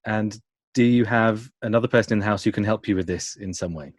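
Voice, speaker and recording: A man's voice: fairly low pitch, fast, no room sound, clean studio sound. A man explaining his directing work in a casual interview, self-deprecating as he goes. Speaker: audio drama writer and director, interview guest. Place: indoors, remote call.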